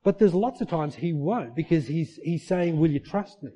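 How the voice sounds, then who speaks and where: Mellow-voiced man preaching a sermon. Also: a little high, animated, moderate pace, slightly rough. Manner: earnest preaching, rhetorical questions. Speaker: pastor. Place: church.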